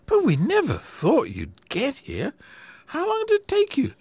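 A man voicing an amazed, fast-talking male New York newspaperman in a storybook reading. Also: wildly swooping pitch, vocal fry, darkish tone, no echo, heavily acted. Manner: incredulous, squeaky high.

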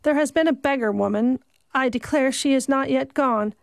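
A woman's voice: high voice, moderate pace, creaky, no echo, studio quality, pitched above the performer's own voice. A woman voicing a blunt, suspicious old female servant who scolds in a rustic country dialect. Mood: scolding.

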